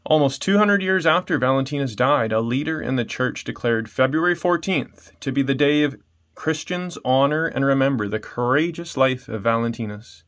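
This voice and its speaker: A man's voice: medium pitch, moderate pace, a bit bright. A man, reading a children's story aloud as narrator. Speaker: storybook reader. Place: small room indoors at home.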